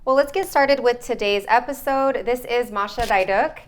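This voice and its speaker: A woman's voice: a little high, normal speed. A young woman hosting a casual, chatty interview in an informal, bubbly way. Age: young adult. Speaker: podcast host and interviewer.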